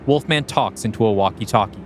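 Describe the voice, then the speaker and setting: A man's voice: on the low side, brisk, a little hiss or hum. A man co-hosting a podcast, moving between reading a screenplay aloud and talking about it. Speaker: podcast co-host. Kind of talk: scripted screenplay narration and casual creative discussion.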